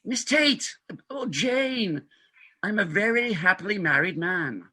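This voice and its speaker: A man performs a middle-aged hospital doctor in a comic play reading. His voice is husky and bright, with very animated swings. He blusters and stammers, pompous and evasive whenever he is flustered. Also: high-pitched, takes it slowly, full character voice. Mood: defensive, awkward.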